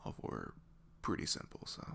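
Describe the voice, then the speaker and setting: A young man playing an awkward, apologetic college-age ex-boyfriend in an intimate ASMR audio roleplay, speaking softly and haltingly, often close to a whisper. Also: slight background noise, natural voice. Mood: awkward, polite. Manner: hesitant, halting, trailing off.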